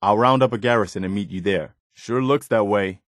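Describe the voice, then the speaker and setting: Guy playing earnest militia second-in-command from a video game, kinda low voice, plain-spoken, really dry sound. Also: fairly quick, no room sound, lightly acted. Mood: businesslike, commanding. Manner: sincere, plain-spoken, soldierly. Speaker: video game companion character voice actor. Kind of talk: scripted game dialogue.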